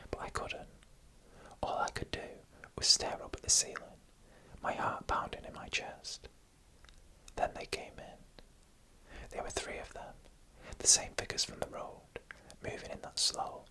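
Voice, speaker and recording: A narrator telling eerie stories in a breathy, unvoiced whisper, in deliberate short phrases for ASMR. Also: bright, forceful tone, rough recording. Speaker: ASMR storyteller.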